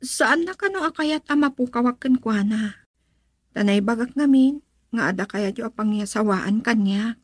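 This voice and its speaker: A woman's voice: fairly high pitch, animated, moderate pace, no echo, studio quality. A woman with an Ilocano accent playing a hesitant young woman in love, a dutiful daughter in a radio drama. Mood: earnest, careful.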